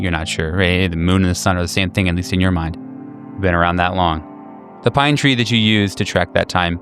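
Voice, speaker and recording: Low, gravelly man narrating haltingly. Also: fairly bright tone. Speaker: game master. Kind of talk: improvised horror roleplay narration.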